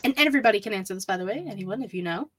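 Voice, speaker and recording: A woman's voice: mid-range voice, lively intonation, rapid-fire, a bit bright, no room sound. A woman with a mid-range voice co-hosts a casual fan podcast. She talks in an informal, conversational way, recorded dry with almost no room sound. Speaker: podcast co-host.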